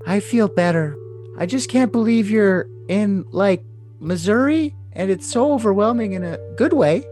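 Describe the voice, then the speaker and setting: A man's voice: high voice, expressive, moderate pace, darkish tone. A man voicing a lovesick, scheming young woman in a cutesy, coy, overly dramatic comic reading of messages. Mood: giddy, affectionate. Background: music.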